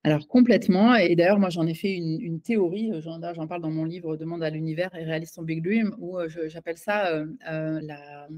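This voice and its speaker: A woman's voice: sits low, fairly even intonation, brisk, hardly any pauses, a little mellow. A woman giving an interview as a coach and author, talking in a hesitant way with many fillers and restarts. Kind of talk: casual conversational interview.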